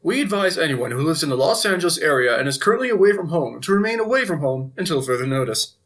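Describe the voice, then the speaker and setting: A man's voice: a little high, very animated, fast, fairly bright tone. A man playing a flippant TV news anchor in an audio drama, reading reports with wry, editorializing asides. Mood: grave, newsy. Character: flippant male TV evening news anchor covering a zombie outbreak.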